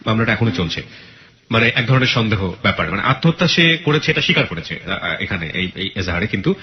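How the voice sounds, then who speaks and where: A man's voice: lowish voice, animated, fast, lo-fi sound. A man with a Bangladeshi accent hosting a radio show, interviewing a guest about a real-life story with probing questions.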